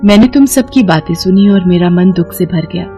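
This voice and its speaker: A woman voicing a benevolent, reassuring celestial fairy with a measured, solemn manner in an animated fairy tale, music behind. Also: on the low side, close to an everyday voice. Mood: kind, solemn.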